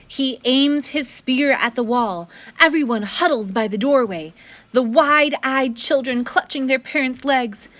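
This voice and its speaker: A woman reading a children's story aloud as an expressive narrator, with deliberate, dramatic phrasing. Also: sits high.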